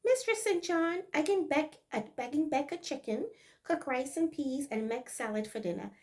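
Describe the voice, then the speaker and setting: A woman's voice: animated, moderate pace. Woman doing a jittery Barbadian housemaid. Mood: eager. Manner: higher, louder dialect voice. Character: nervous female housemaid, jittery and eager.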